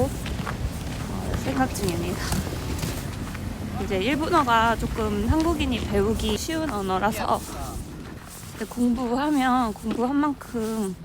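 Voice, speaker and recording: A woman's voice: a little high, noisy background. A woman vlogging in a chatty, cheerful way, with music under her voice. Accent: Korean. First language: Korean. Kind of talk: casual vlog talk to camera.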